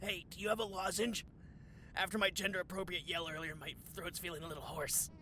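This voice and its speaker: A man's voice: high voice, steady tone, a little fast, lightly acted. Man playing an indignant, suspicious office underling. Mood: sheepish. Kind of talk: comedy audio drama, talking to camera.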